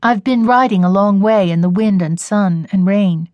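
A woman voicing a grave, weary young woman who speaks earnestly and quietly. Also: mid-range voice, moderate pace, no echo, muffled recording, close to an everyday voice.

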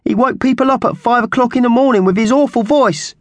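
A man's voice: very high-pitched, fast talker, no echo, pitched above the performer's own voice. A man voicing a scrawny magpie henchman in a children's storybook, a squawky and mock-solemn gang underling. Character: thin scrawny male magpie henchman, nasty yet sentimental.

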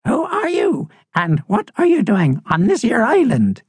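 A crusty old female seabird from a children's storybook. The voice sits high and swoops dramatically, salty and full of dark warning, with slow, weighty delivery. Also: wildly swooping pitch, breathy edge, no echo, studio quality, exaggerated voice. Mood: ominous warning. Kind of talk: storybook character reading.

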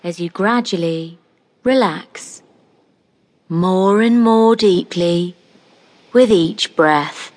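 A woman narrating a scripted guided meditation in a soothing, gentle manner, leaving long pauses between short phrases. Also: on the low side.

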